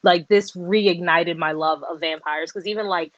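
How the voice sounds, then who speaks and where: Casual book-chat woman, rambly and lively, dry dull video-call sound. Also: fairly low pitch, normal speed, no echo. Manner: rambling, animated, many fillers and restarts. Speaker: book discussion co-host.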